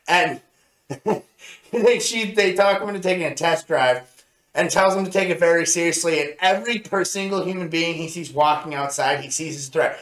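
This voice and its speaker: A man co-hosting a review show in casual chat, with a halting, rambling way of telling things. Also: on the high side, fairly bright tone. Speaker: co-host of an anime review show. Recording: roomy, echoey sound.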